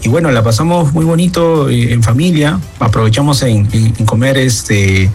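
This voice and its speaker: Peruvian male listener from Lima, medium pitch, chatty and casual, faint music behind. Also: normal speed, darkish tone. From Peru. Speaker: listener sending a message. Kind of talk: casual personal message.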